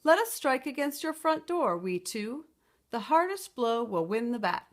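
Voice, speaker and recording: A woman's voice: a little high, very animated. A woman voicing a clever, brave little girl in a storybook, speaking up boldly and politely. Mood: bold, confident. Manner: loud, bold, deliberate.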